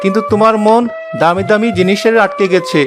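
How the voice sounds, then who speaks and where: Cartoon farmer husband, played by a man: high male voice, mild, patient, plaintive, faint music. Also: steady tone, fairly quick, lightly acted. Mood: earnest. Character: mild, long-suffering village farmer husband. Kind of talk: Bengali cartoon dialogue.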